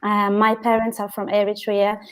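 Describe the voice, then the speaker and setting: A woman's voice: mid-range voice, monotone, normal speed, clear, resonant tone. A woman from Italy with an Italian accent, a teacher speaking as a roundtable guest in hesitant English. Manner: hesitant, laughs between phrases. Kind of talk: roundtable discussion.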